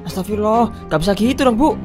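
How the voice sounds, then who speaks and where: A young village worker, as a woman voices him, mid-pitched and plain-spoken, grumbling sarcastically under his breath and arguing back. A cartoon drama with music running underneath. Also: fast, natural voice. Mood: indignant. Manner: mutters sarcastic asides, talks back. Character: young village dishwasher, cheeky and outspoken.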